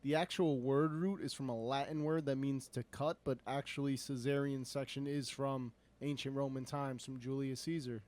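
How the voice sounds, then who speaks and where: A young man, the producer of a podcast, with a middle-pitched voice. He reads out his search findings plainly and a little hesitantly. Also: steady tone, moderate pace, hardly any pauses, darkish tone. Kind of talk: reading search results aloud.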